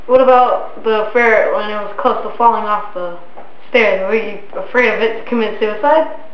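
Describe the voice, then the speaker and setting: A man's voice: high-pitched, moderate pace. A young man giggling and teasing through a joking home-video interview. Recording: echoey lo-fi home video with noise.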